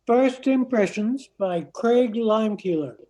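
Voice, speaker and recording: A man's voice: sits high, a little slow, a little mellow. An older man reading scripted narration for an amateur radio play. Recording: noisy amateur recording.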